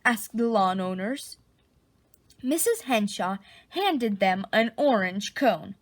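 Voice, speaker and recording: A woman reading a children's picture book aloud in an expressive, dramatic storytelling style. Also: mid-range voice, slow. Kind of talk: animated storybook read-aloud.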